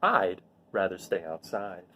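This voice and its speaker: A man's voice: deep voice, a little slow. A man reading picture books aloud to children, playing up the sound words and chatting simply to his young listeners in between. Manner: expressive, plays up sound words, simple child-directed phrasing. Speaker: read-aloud host for children. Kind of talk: animated storybook read-aloud with casual asides to young listeners.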